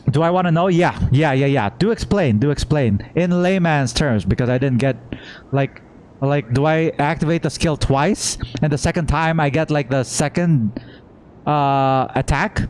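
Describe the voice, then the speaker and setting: A man doing a casual live gameplay reaction as a streamer, informally thinking out loud. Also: fairly high pitch, normal speed, a little hiss or hum. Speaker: game streamer. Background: faint game music.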